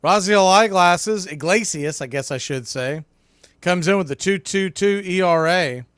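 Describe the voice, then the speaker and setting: A man calling baseball play-by-play live, in clipped pitch-by-pitch phrases. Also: unhurried, fairly bright tone, clear, resonant tone, no room sound, studio quality. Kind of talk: live sports commentary.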